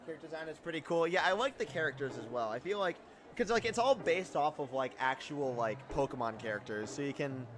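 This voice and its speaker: A man's voice: a little high, expressive, quick pace, background noise. A young man giving casual, rambling, informal commentary as he streams a visual novel read-through. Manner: rambling, informal, bro slang.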